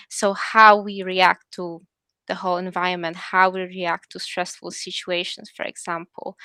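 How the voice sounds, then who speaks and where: Polish-accented young woman explaining clearly. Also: mid-range voice, fairly bright tone, no echo. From Poland. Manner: earnest, explanatory, frequent ums and restarts. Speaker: assistant psychologist, guest speaker. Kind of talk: presentation talk.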